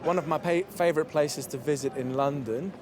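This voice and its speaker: Thoughtful man pausing as he speaks. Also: a little high, crowd noise. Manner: thoughtful, with hesitant fillers. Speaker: passer-by interviewee. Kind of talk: casual chat.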